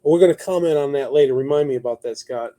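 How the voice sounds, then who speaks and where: A man hosting a news commentary talk show, moving between reading notes and conversational remarks, halting at times and repeating words for emphasis. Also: medium pitch, warm tone.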